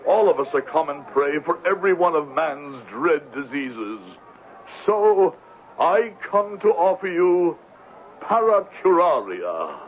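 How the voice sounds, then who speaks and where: Smooth talking snake oil con man, flowery and pompous, highish voice, old tinny radio drama. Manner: florid, pompous, theatrical phrasing. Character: smooth-tongued, grandiloquent male con man and medicine-show pitchman. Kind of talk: 1970s radio drama acting. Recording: tinny, noisy 1970s radio recording.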